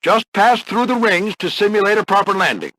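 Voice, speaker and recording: An older American man voicing a gruff veteran warplane flight instructor in a video game, husky and folksy, coaching firmly but with encouragement. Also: high-pitched, lively intonation, brisk, sharp tone, no room sound, exaggerated voice, rough recording. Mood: stern instructing. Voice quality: gravelly, husky. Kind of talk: scripted video game character lines.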